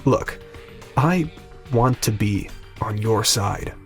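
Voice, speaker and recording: A man's voice: lowish voice, lively intonation, slow, soft background music. Man acting an earnest, intense young male classmate. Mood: grave, intense. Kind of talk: audio drama acting.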